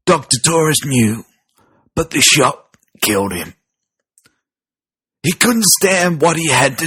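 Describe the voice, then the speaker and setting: A man voicing a dying, undead doctor in a horror story, desperate and halting as he gives a final confession. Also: on the high side, fairly bright tone, husky, voice pushed up, full character voice.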